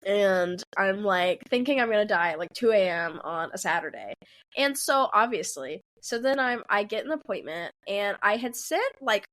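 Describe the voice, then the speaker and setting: A woman's voice: mid-range voice, lively intonation, normal speed, fairly bright tone. A woman in her twenties, a podcast co-host, telling a rambling story in casual chat and acting out what people said. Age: 20s. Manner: rambling, laughing, acts out quotes.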